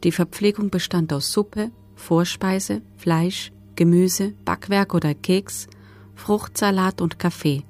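A woman's voice: fairly low pitch, steady tone, takes it slowly, darkish tone, soft background music. A woman playing a former camp prisoner, giving sober, factual testimony in a documentary radio drama. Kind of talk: documentary radio drama, read witness statement.

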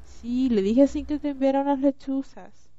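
Woman as a very mellow, sardonic young witch. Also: high-pitched, moderate pace, slight background noise, lightly acted. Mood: aggrieved. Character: bossy, sarcastic, forgiving young witch prefect. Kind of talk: amateur radio play acting. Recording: dull home-made recording.

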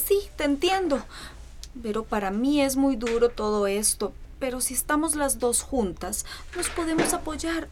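A grieving Costa Rican mother pleading lovingly. Her voice is fairly high and emotional, in radio drama style, with clear noise in the background. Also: expressive, a little slow. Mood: pleading, sorrowful.

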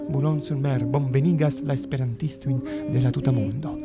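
A man's voice: medium pitch, fairly even intonation, a little fast, over loud music. A man narrating radio theatre as an actor-storyteller, dramatic and emphatic, repeating phrases for effect.

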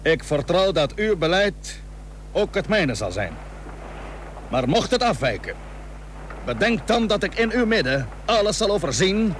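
An actor playing a resolute seventeenth-century Dutch naval commander in a radio play, earnest and commanding, with solemn, old-fashioned formal wording. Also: male voice, high-pitched, fairly bright tone. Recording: noisy old radio drama recording.

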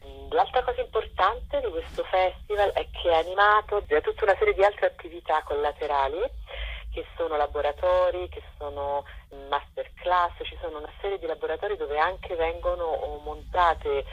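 A woman's voice: on the low side, normal speed, breathy edge. A woman speaking as a festival director in an informal radio interview, hesitant and searching for words. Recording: dull lo-fi telephone line.

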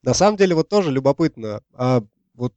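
A man with a soft, dark timbre and medium pitch chats informally on a podcast. He sounds inquisitive, and the recording is dry. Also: animated, brisk, dry recording, lo-fi sound. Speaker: podcast panelist. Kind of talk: casual chat.

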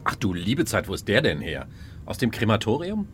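An actor playing a smug, calculating official, condescending and wry, in a radio crime drama. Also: male voice, lowish voice, wildly swooping pitch, moderate pace. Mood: startled, bewildered. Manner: smooth-talking, condescending, wry. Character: smug, calculating male official, cool and ambitious.

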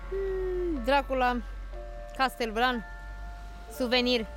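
A woman selling souvenirs, not a native English speaker, giving short halting answers in an interview. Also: high-pitched, lively intonation, over music. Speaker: souvenir seller.

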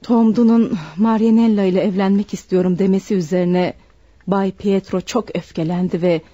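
An actress playing a desperate, bitter servant woman confessing to murder in a deliberate, dramatic radio play style. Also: medium pitch, fairly even intonation, mellow, dry recording, lightly acted. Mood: grave confession. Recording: dull, dry radio recording.

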